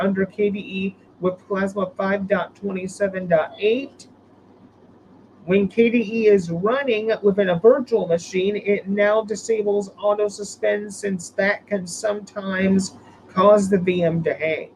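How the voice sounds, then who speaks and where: A man hosts a relaxed live stream, his voice worn and hoarse from a recent illness. He sits fairly high in pitch and rambles, restarting his sentences often. A faint background hum sits under him. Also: low-quality recording. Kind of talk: casual live stream chat.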